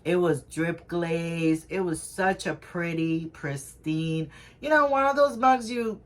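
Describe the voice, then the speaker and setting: A woman's voice: on the low side, slow pace. A woman co-hosting a casual reselling chat, talking in a rambling way with lots of fillers and restarts. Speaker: co-host of a reselling channel.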